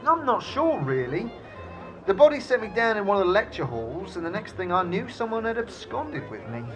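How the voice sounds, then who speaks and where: A man's voice: high voice, very animated, moderate pace, music in the background, rough recording. A man playing a chatty, genteel severed talking head in an audio drama, with a fussy, theatrically polite manner. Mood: wistful. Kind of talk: audio drama character acting.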